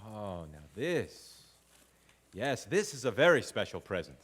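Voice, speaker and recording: A man's voice: lowish voice, sing-song, measured pace, fairly bright tone, breathy edge, exaggerated voice. An actor playing a genial, fumbling father who tells Christmas stories in a live stage audio drama. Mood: coaxing, genial. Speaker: father and narrator. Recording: live hall recording with long reverb.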